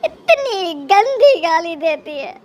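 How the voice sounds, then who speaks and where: A woman's voice: very high voice, very animated, slow, darkish tone, a little hiss or hum, voice pushed up, heavily acted. A woman voicing a self-pitying, scheming mother-in-law who complains dramatically in a cartoon story. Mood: wailing, scandalized. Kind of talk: Hindi cartoon moral story acting.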